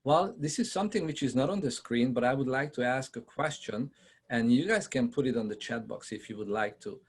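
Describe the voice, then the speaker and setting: A man from Hungary with a Hungarian accent, giving a conversational presentation on a video call, often pausing with fillers and asking his audience questions. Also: medium pitch, breathy edge. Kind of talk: online presentation to realtors.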